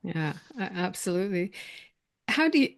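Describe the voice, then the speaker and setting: A middle-aged woman hosting a podcast interview, affirming her guest and asking gentle follow-up questions. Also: mid-range voice, sing-song, moderate pace, gravelly. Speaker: podcast host. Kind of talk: interview conversation.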